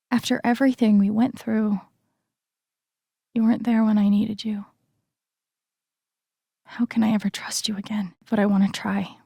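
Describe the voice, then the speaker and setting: An earnest, wounded young woman in a dramatic video game. Her mid-pitched voice is breathy, often close to a whisper, with a gravelly creak at the edges. She speaks very slowly and deliberately, giving each phrase quiet conviction and emotional weight. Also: no room sound. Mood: tender, hurt.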